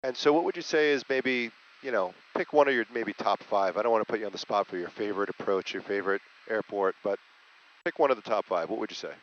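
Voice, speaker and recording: Lower-pitched man on a noisy headset. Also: fast talker. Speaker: pilot, host of flight vlog. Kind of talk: casual cockpit chat, asking questions. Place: small jet cockpit in flight. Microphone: aviation headset. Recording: dull headset audio with clear noise.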